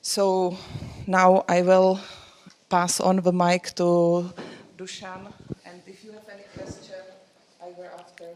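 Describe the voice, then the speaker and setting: Woman presenter, low and flat delivery, hesitant with frequent fillers. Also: slow pace. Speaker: conference presenter. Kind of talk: conference presentation.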